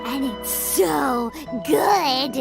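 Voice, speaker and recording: A woman voicing a tiny, excitable, childlike fairy companion in a video game, squeaky and bubbly. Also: extremely slow, gravelly, heavily acted. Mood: excited. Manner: bubbly, speaks of herself in third person. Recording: loud music behind the voice.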